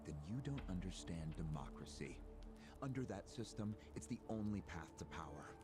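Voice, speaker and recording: A man playing a calculating, cynical political operative in a video game, measured and smug as he explains. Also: sits low, sing-song. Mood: smug, explaining. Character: calculating, cynical male political operative recounting a grand scheme. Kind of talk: English video game voice acting. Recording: game audio with music behind. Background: game music.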